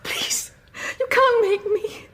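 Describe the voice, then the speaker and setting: A woman playing a frightened, devoted wife in a radio drama, breathy, faltering and emotional. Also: very high voice, slow, a bit bright, whispered, exaggerated voice. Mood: tearful pleading.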